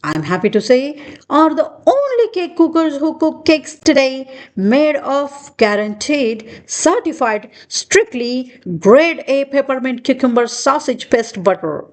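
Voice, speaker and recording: Slow, high, animated woman reading a storybook. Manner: expressive, sing-song rhyming delivery. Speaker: children's book reader. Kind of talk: storybook read-aloud for children.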